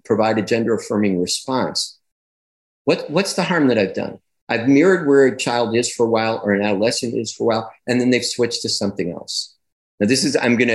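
Expressive man explaining with rising animation. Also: rough recording. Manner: expressive and animated, rising in pitch as he builds his points; reflective, with repeated phrases and restarts. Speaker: guest, clinician and educator on gender. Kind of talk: conversational interview answer.

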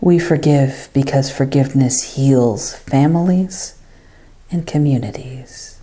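Female meditation guide, low and mellow, unhurried devotional delivery with phrases that fade softly.

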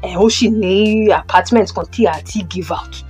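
A woman with a Nigerian accent playing a brisk, pushy male housing agent in an audio drama. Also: medium pitch, darkish tone, soft background music, close to an everyday voice. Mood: businesslike. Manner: hesitant starts, persuasive, businesslike. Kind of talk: audio drama acting, Yoruba-English phone conversation.

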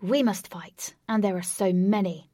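A woman's voice: fairly low pitch, normal speed, dark tone, breathy edge, dry recording, studio quality. A woman voicing an earnest male martial-arts leader in a storybook, speaking firmly and instructively. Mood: eager. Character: steady, earnest male martial-arts hero leading his friends.

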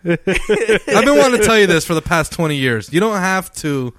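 A man hosting a casual podcast, with a bright, slightly high voice. He talks in loose slang with plenty of swearing and jokes, and his pitch swings around in a lively way. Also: moderate pace, dry recording. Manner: jokey, slangy, profane. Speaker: podcast host. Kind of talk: casual comedic banter.

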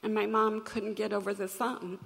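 A woman with a crackly, gravelly voice shares a personal story from a stage. She speaks earnestly and reflectively, at a measured pace. Her words trail off into the long reverb of a large hall. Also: mid-range voice, little pitch movement. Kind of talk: personal story told to an audience.

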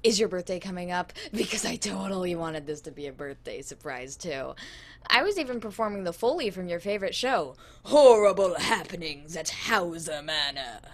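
Teenage boy radio intern, voiced by a woman: eager, earnest, excitable, very lively intonation, comedy podcast. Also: lowish voice, moderate pace. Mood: starstruck. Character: eager, innocent fifteen-year-old boy, starstruck radio intern. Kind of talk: scripted audio comedy acting.

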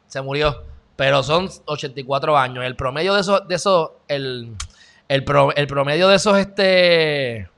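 A young Puerto Rican man chats sarcastically through a live stream. His voice is bright, forceful and sits a little high for a man. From Puerto Rico. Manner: chatty, sarcastic, greets viewers by name. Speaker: channel host. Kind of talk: casual live-stream news chat.

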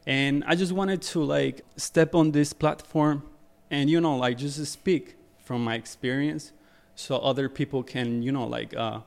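A man's voice: mid-range voice, steady tone, measured pace, vocal fry, few pauses. A young man from Ecuador, a guest on a podcast, talking casually about his photography career with many fillers and repeated words.

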